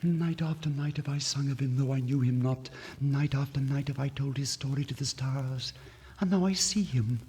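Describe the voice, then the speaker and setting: A man's voice: medium pitch, normal speed, dark tone, breathy edge, voice pushed up. A man voicing a tender, yearning female nightingale in a fairy tale, with ardent, declamatory 1950s storytelling delivery. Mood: rapturous. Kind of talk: 1950s literary story record acting. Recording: vintage vinyl record, long reverb.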